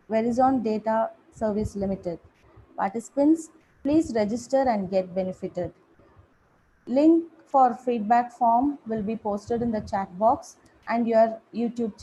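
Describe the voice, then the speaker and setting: Webinar host, woman from India, formal and courteous, soft mellow tone, faint noise behind. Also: on the high side, low-quality recording. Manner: polite and formal, addresses the guest as sir. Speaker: webinar moderator.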